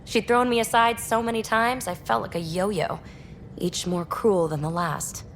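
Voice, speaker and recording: A woman acting a brash, candid young rogue explorer in a video game, talking in a snarky, animated and colloquial way. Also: medium pitch, measured pace, a little hiss or hum, lightly acted. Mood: hurt, bitter.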